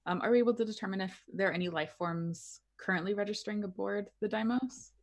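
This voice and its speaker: A woman's voice: mid-range voice, quick pace. A woman talking casually as a player in a tabletop roleplaying game.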